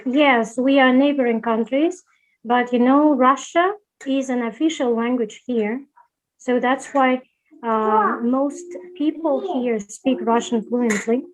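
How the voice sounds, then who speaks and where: Slow, careful woman. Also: high-pitched, low-quality recording. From Kazakhstan. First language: Kazakh. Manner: careful and halting, restarts sentences. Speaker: online English teacher, guest. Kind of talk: video call self-introduction. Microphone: video call.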